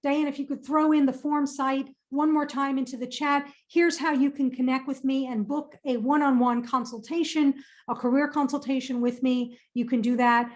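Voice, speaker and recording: Woman, high voice, upbeat, emphatic, webinar presenter, career advice Q&A. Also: fairly even intonation, normal speed. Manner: upbeat, emphatic, repeats phrases for stress.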